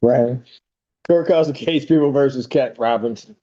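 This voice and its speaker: A man's voice: medium pitch, moderate pace, mellow. A man speaking as a judge running courtroom proceedings, on a dull courtroom recording. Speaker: judge presiding over court. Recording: dull lo-fi courtroom video audio.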